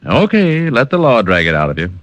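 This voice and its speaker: A man's voice: medium pitch, wildly swooping pitch, a little fast, fairly bright tone, no echo. An actor playing a wisecracking male private detective who trades dry, sarcastic quips in an old radio drama. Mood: teasing. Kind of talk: 1950s radio drama acting.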